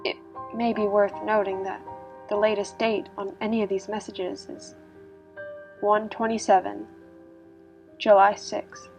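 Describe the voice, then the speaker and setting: A woman's voice: mid-range voice, little pitch movement, a little slow, gravelly, long pauses, a little mellow. A woman reading a scripted, eerie internet horror story as its narrator. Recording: music behind the voice. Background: background music.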